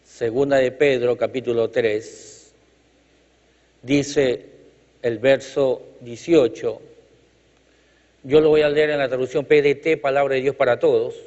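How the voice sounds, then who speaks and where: A man's voice: medium pitch, steady tone, a little slow, pauses often, darkish tone. Preacher man in an echoey hall. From Chile. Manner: emphatic, rhythmic repetition, light jokes. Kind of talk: sermon with congregational call-outs. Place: church hall. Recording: reverberant hall, dull lo-fi sound.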